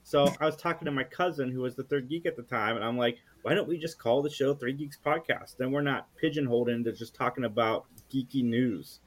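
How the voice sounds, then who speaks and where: Male podcast guest, medium pitch, casual anecdotal delivery, conversational and animated. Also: fairly quick, hardly any pauses, rough recording. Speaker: podcast guest and co-host of a geek culture show. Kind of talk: casual storytelling chat.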